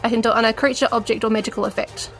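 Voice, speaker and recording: A woman's voice: fairly high pitch, flat delivery, racing, a bit bright. A woman chatting casually as a player in a tabletop role-playing game. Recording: music behind the voice. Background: background music.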